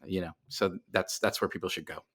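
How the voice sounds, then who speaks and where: A man's voice: sits low, steady tone, fairly quick, a bit bright. A middle-aged man speaking casually as a guest in a podcast interview, with self-deprecating humour. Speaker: guest, writer.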